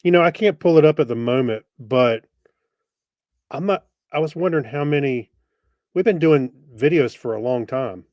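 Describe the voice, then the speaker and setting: A man talking as a podcast co-host in a dry, close-sounding recording. His voice sits at an average pitch, and he chats in an easygoing, joking way. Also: normal speed, darkish tone, no room sound. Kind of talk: casual chat.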